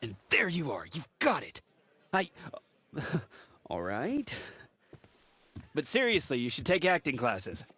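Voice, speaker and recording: An actor playing a theatrical, exacting acting coach in a video game, with husky, dramatic, drawn-out speech. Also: male voice, on the high side, wildly swooping pitch, sharp tone, no echo, exaggerated voice. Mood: amused, instructive.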